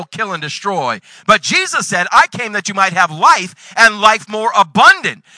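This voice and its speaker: A man in his forties preaching a sermon, reading scripture and breaking into sudden loud bursts. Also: very high voice, no room sound, rough recording. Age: 40s. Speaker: pastor.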